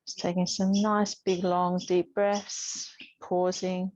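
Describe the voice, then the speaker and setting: A woman teaching a yoga class, giving soothing, unhurried guidance with pauses between instructions. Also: fairly low pitch, flat delivery, a little mellow, no room sound. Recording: dull, muffled lo-fi sound.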